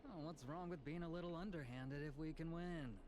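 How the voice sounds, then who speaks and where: A man's voice: fairly high pitch, darkish tone, lightly acted. Man acting a cool calculating commander, faint noise. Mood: coolly provoking. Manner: measured, formal, theatrical. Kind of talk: video game voice acting.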